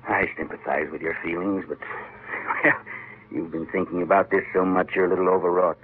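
Police chief: low voice, formal, placating, dismissive; thin vintage radio sound. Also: male voice, level tone, a little fast, slightly rough. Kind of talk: old-time radio drama acting. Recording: tinny, faintly noisy vintage radio recording.

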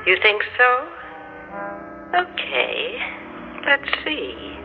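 An actor playing a skeptical, wisecracking inner voice in an old American radio drama, probing and sardonic, with a husky edge. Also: male voice, high voice, wildly swooping pitch, extremely slow, over loud music, heavily acted. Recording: tinny phone-like filtered old radio sound.

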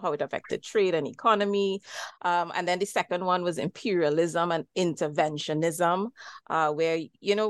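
Trinidadian woman academic, low voice, dry close sound, thoughtful guest. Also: dry recording. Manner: thoughtful, many fillers and restarts. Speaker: historian and co-editor guest. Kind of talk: academic interview.

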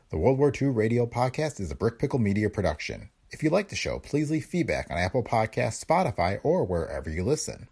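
A deep, husky male voice reads a scripted podcast introduction. His pitch moves up and down in an animated way, as a host addressing listeners.

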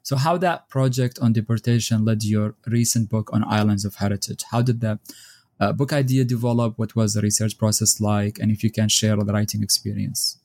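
Guy hosting a book podcast, lowish mellow voice, formal careful questions. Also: fairly even intonation. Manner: formal, measured introductions. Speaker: podcast host. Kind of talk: academic book interview.

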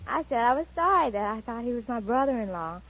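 A woman chatting casually as a contestant on a quiz show, with a husky voice. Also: a little high, expressive, quick pace, a bit bright. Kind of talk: casual anecdote and short answers to a host. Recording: dull old radio recording, light audience sound.

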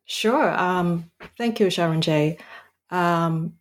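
Slow, low-voiced hesitant woman historian. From Hong Kong. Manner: halting, many ums and restarts. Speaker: guest author, historian. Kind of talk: hesitant interview answers.

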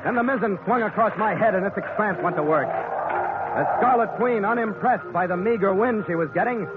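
A man's voice: high voice, moderate pace, heavy background noise. A man narrating as a ship's captain in an old radio drama, wry and dry. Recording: tinny old radio recording.

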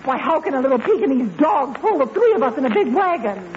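An actress playing a melodramatic desert princess with theatrical, declamatory delivery in a 1940s radio comedy. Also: female voice, high voice, big pitch swings, fast, muffled recording. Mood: questioning. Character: melodramatic captive desert princess, exotic leading lady. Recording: thin old radio recording, audience noise.

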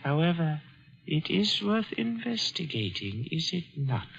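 An actor playing a grave, world-weary old Indian merchant with formal, philosophical phrasing in a 1940s radio drama. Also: male voice, on the high side, very animated, a little slow, gravelly, faint music behind, full character voice. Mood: measured, persuasive. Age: older. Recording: dull vintage 1940s radio recording.

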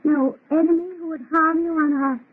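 A woman's voice: high voice, fairly even intonation, normal speed, lightly acted. A woman playing an anxious, devoted young bride in earnest, theatrical 1930s radio drama style. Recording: muffled, lo-fi vintage radio recording.

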